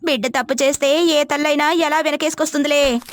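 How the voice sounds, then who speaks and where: A woman's voice: high-pitched, fairly even intonation, brisk, pitched above the performer's own voice, close to an everyday voice. A woman voicing a spiteful village gossip in a story, accusing and scolding with scorn. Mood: scornful scolding.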